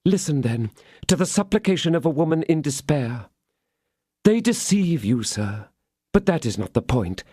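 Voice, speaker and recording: A man's voice: fairly high pitch, big pitch swings, normal speed, darkish tone, husky, no echo, studio quality, voice pushed up. A man voicing a scheming woman prisoner who pleads and prays in feigned, sobbing despair. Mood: pleading.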